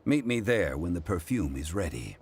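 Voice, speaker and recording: An actor playing a composed, scholarly gentleman in a video game, formal, measured and eloquent. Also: deep voice, very animated, heavy background noise. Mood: calm, formal.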